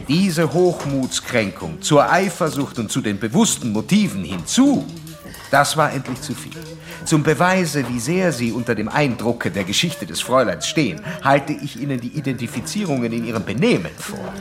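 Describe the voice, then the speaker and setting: An actor playing an insistent psychoanalyst who lectures a patient in formal, didactic, old-fashioned German radio drama style. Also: male voice, mid-range voice, sing-song, moderate pace, over music, close to an everyday voice. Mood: insistent, analytical. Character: male psychoanalyst doctor, insistent, certain, lecturing his young patient.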